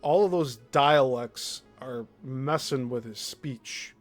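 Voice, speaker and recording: Midwestern male streamer, slow, blunt, exasperated, faint music bed. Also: mid-range voice. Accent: Midwestern American. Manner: blunt, exasperated, laughs often. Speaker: show host. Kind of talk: live-stream commentary on played clips.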